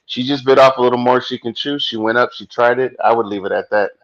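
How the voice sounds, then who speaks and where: Measured man on a dull lo-fi line. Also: medium pitch, steady tone, fairly bright tone. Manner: measured, fair-minded. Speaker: panelist. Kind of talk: casual online boxing chat. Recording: dull lo-fi sound.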